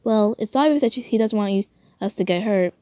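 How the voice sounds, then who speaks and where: A woman's voice: medium pitch, brisk, dark tone, dry recording, close to an everyday voice. A woman playing a protective but hot-tempered brother in a fan story, blunt and scolding in manner. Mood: reassuring.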